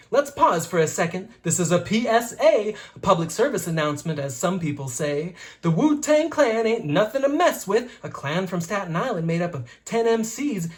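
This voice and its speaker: A reader with an expressive, playful and rhythmic delivery, reading a rhyming picture book aloud like a teacher to children. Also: mid-range voice.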